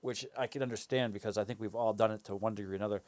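A man's voice: lowish voice, fairly even intonation, a little mellow, dry recording. A man co-hosting a casual faith discussion, often restarting his phrases before he finds his point. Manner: halting, restarts phrases, playful. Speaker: podcast co-host.

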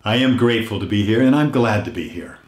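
An older man hosting a weekly motivational message, earnest and unhurried, his voice a little hoarse as if he is losing it, often dropping to a hush on heartfelt points. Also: on the low side, roomy sound. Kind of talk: reflective motivational talk.

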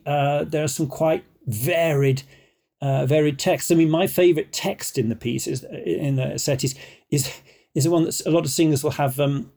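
Mellow-voiced man explaining music. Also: mid-range voice. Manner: digressive, hesitant, scholarly. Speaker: guest musician. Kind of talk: chatty expert explanation.

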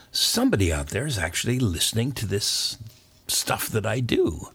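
An older man, a former pirate radio DJ, telling a rambling, anecdotal story in a relaxed interview. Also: deep voice, breathy edge, dry recording. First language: not French; English speaker. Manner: rambling, anecdotal, with fillers and chuckles.

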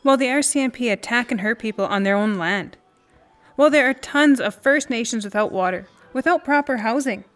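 A fairly high-pitched woman, played by an actress speaks as an earnest, indignant friend in an audio drama. Her delivery is conversational and emphatic, like someone who needs to be understood. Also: brisk, close to an everyday voice. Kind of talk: naturalistic audio drama dialogue.

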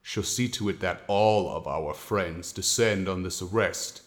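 An actor playing a steady, wry, protective husband in a colonial period audio drama, with measured old-fashioned phrasing. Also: male voice, low-pitched.

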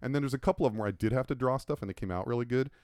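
A man's voice: mid-range voice, very fast, darkish tone, clean tone, no room sound, studio quality. A man co-hosting a casual podcast chat, rambling and self-mocking as he tells stories. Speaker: podcast co-host and logo designer.

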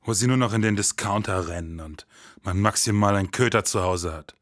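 A man playing a jaded, grumbling detective who narrates in a deadpan, disdainful noir style. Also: on the low side, fairly quick, no room sound, studio quality.

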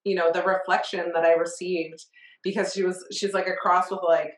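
Lower-voiced woman host in an echoey room. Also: flat delivery, normal speed. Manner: open, reflective storytelling with frequent laughs. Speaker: podcast host. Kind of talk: casual interview chat.

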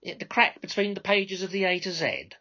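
A man's voice: sits high, fairly even intonation, fairly quick, pushed and bright, highs cut off, voice pushed up, full character voice. A man voicing a brash, bossy female minicab controller in a story, with insincere courtesy. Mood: sarcastic. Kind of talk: storybook character dialogue.

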